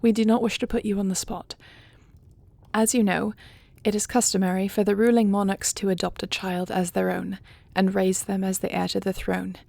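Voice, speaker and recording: A regal dragon queen acted by a woman in an audio drama, earnest and motherly. Her medium-pitched voice has a creaky edge and is recorded dry and close. She speaks in formal, measured phrases with a gentle touch. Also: flat delivery, dry recording. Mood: affectionate, gentle. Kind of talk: scripted audio roleplay drama.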